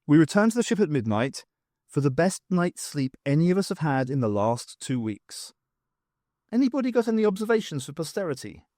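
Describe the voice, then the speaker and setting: A man puts on a wry, self-assured woman professor of archaeology for an audiobook. She is composed and teasing, with lively swings of pitch, recorded dry and close. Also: fairly quick, no echo. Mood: brisk, official.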